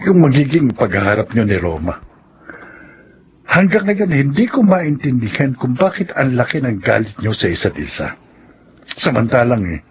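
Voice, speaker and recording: A man acting a worried, caring companion in a Filipino radio drama, with a Filipino accent. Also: medium pitch. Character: worried, caring male companion, gently questioning. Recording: dull radio broadcast, faint noise.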